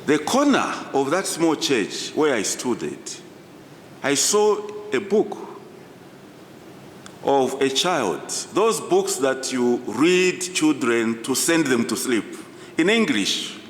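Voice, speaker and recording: Male speaker, formal conference storytelling, emphatic and very animated in pitch, recorded in a reverberant hall. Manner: deliberate, emphatic, short phrases with pauses. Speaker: professor giving a conference address. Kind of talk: formal public speech, storytelling. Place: large indoor conference hall. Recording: echoey hall, distant reverberant sound, low rumble.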